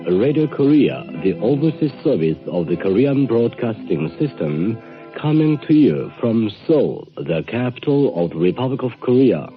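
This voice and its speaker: A man's voice: medium pitch, sing-song, moderate pace. Guy doing formal station id, swoopy intonation, music behind, lo-fi old radio. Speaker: overseas radio service announcer. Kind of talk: formal station identification announcement. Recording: dull shortwave radio recording, music behind.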